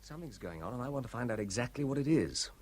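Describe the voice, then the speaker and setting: An actor playing a bold, curious amateur sleuth who speaks briskly and eagerly. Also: male voice, mid-range voice, expressive, darkish tone, a little hiss or hum, natural voice. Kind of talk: 1970s radio drama acting.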